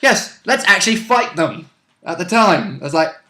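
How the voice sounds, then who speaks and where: A man with a British accent and a fairly high, bright voice, recapping a tabletop game in casual chat. He is jokey and sweary, often putting words in the characters' mouths. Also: very animated, moderate pace.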